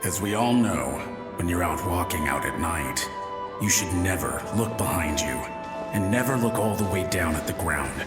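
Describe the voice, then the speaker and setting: Game ghost soldier: folksy, teasing storyteller, lively intonation, echoing reverb. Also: male voice, low voice, normal speed. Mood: eerie storytelling. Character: teasing, chatty male ghost of a lighthouse soldier. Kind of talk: video game voice acting. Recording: long echoing reverb with music behind.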